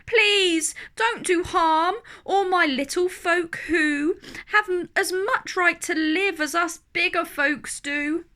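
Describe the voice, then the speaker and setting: A woman voicing a big, gentle elephant from a storybook, earnest, protective and pleading. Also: extremely high pitch, slow pace, pitched above the performer's own voice, heavily acted. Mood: reassuring, pleading. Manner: earnest, rhyming storybook delivery.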